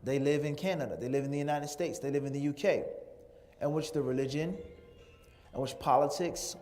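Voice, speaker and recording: A man delivers a lecture-style sermon in a large Islamic center hall, with a voice sitting a little higher than most men's. He builds his points rhetorically, piling word on word in long lists. The sound carries a long hall reverb. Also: fairly quick, darkish tone, soft background music. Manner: rhetorical, piles up lists of words.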